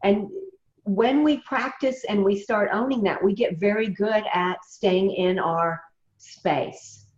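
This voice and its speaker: A woman, a voice coach giving measured, emphatic advice in a remote interview. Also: mid-range voice, darkish tone. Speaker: voice coach guest. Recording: roomy, dull lo-fi sound.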